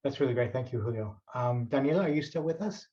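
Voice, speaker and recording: A man's voice: mid-range voice, expressive, quick pace, dark tone. Moderator man over dull call audio. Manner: hesitant, filler-laden. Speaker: panel moderator. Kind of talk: moderating online panel.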